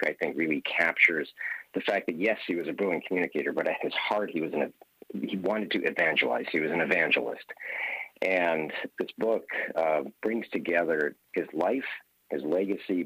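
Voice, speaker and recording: Very deep, husky man on a radio interview. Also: sharp tone, no echo, rough recording. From Hawaii. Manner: measured, earnest storytelling. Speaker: guest author.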